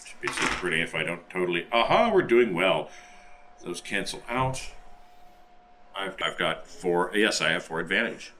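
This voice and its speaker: A man playing a tabletop role-playing game, chatting and improvising casually, often halting and repeating his words. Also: low voice, very animated, sharp tone.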